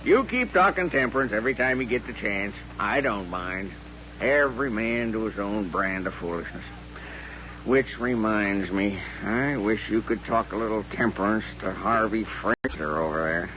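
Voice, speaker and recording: An actor playing a sly, folksy saloon keeper with an American Western drawl in an old radio western. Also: male voice, on the low side, lively intonation, normal speed, sharp tone. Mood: jovial. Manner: folksy, joking, wheedling. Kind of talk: 1950s radio western acting. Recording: dull, noisy vintage radio recording.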